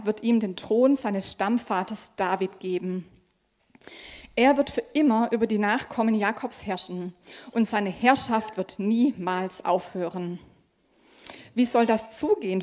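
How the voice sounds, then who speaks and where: A young woman from Germany preaching in a conversational tone, her voice a little high. She reads a scripture passage first, then speaks freely and casually, with small fillers along the way. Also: a little mellow. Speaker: pastoral trainee preacher. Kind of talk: sermon with scripture reading.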